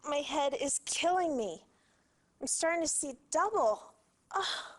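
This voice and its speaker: A woman's voice: sits high, animated, a little slow. A woman playing a distressed, injured disaster victim in a live-action video game, pained and pleading.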